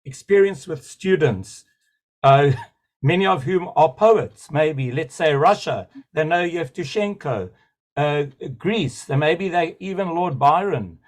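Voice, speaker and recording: A man's voice: on the high side, slow. A man joining a webinar over a video call to share a long, enthusiastic comment that wanders from one thought to the next. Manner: enthusiastic, rambling, digressive. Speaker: webinar participant.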